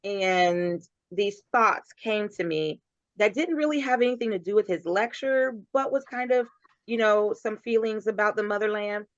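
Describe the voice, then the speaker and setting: A woman's voice: mid-range voice, moderate pace, dry recording, dull audio. A woman in her forties from Kentucky, a poet chatting in an interview with frequent laughs and restarts. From Covington, Kentucky. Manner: chatty, laughs often, restarts when telling a story. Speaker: guest poet. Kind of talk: casual interview talk.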